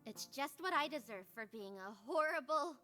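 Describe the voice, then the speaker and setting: A woman's voice: sits high, lively intonation, normal speed, sharp tone, dry recording, soft background music, pitched above the performer's own voice. A woman voicing a hot-tempered, self-pitying young magical girl from a visual novel, dramatic and exasperated. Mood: despairing. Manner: dramatic, sarcastic, exasperated outbursts. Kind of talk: visual novel character voice acting.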